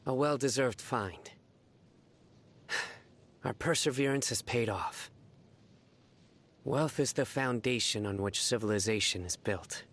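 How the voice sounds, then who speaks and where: A man voicing a composed, dutiful warrior in an anime game, measured and formal. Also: mid-range voice, a bit bright. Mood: composed, resolute.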